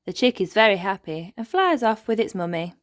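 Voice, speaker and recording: A woman narrating a children's storybook aloud in an expressive read-aloud style. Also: mid-range voice, brisk, dry recording, studio quality.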